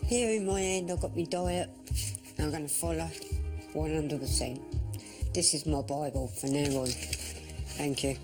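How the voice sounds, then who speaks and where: Man, fairly high voice, earnest and determined, music behind. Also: steady tone, measured pace, pauses often. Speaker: documentary participant.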